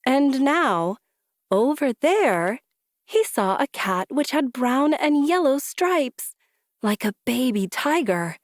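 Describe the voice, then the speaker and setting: Slow, very expressive woman storyteller. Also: high voice, no echo, studio quality. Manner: deliberate, rhythmic, emphatic. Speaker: storybook reader. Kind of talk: expressive children's story narration.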